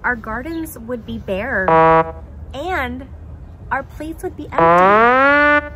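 High, swooping woman, kids' show host. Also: lively intonation, takes it slowly, sharp tone, faint music behind. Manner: exaggerated, sing-song, encouraging, as if to small children. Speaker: children's puppet show host. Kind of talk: playful children's show presenting, chatting to a puppet.

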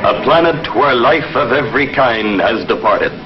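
A man's voice: mid-range voice, lively intonation, normal speed, natural voice. A man playing a solemn announcer, giving dramatic scripted narration for a 1950s space adventure series. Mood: solemn, ominous. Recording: dull, lo-fi 1950s television soundtrack. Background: music.

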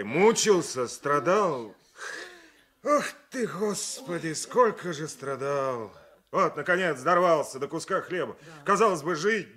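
An actor playing a blunt, pitying village neighbour in a Russian radio drama, admonishing in a folksy, sighing way. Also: male voice, on the high side, fairly bright tone.

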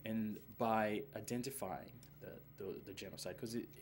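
Low-voiced man, halting and earnest. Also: fairly even intonation, slight background noise. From Rwanda. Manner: halting, many "uh" fillers and restarts, earnest. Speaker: filmmaker, interviewee. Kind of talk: casual interview answers. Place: indoors, seated in front of a film poster.

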